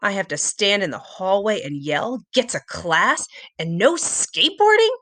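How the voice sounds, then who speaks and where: A woman's voice: medium pitch, very animated, a little slow, a bit bright. A woman voicing a reluctant, easily alarmed schoolboy in a storybook, with a whiny, exclamatory delivery. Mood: grumbling.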